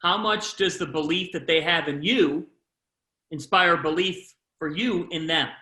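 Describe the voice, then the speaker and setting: Male sports interviewer, high bright voice, lively questions, roomy echo. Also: a little slow. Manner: lively, shifts pace within questions.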